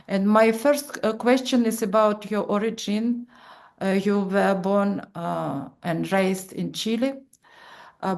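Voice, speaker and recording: A woman's voice: medium pitch, a little mellow. A woman interviewing a guest artist, asking her questions hesitantly with fillers.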